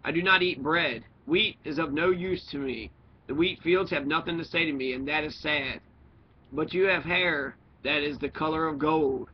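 A man voicing a lonely, philosophical talking fox from a storybook, speaking in earnest, deliberate dialogue. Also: a little high, natural voice. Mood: wistful. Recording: dull lo-fi recording with noise.

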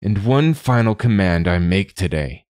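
A man's voice: very deep voice, expressive, moderate pace, warm tone, no echo, clean studio sound. A man voicing an eager, ambitious young prince turned king in a storybook, with a declamatory, commanding manner. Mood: cold, commanding.

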